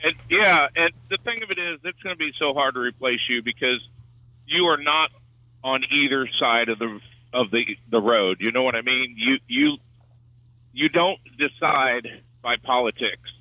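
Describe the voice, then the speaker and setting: A man's voice: mid-range voice, expressive, moderate pace, no room sound. A man calling a radio show, giving his opinions casually over the phone. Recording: dull band-limited phone line.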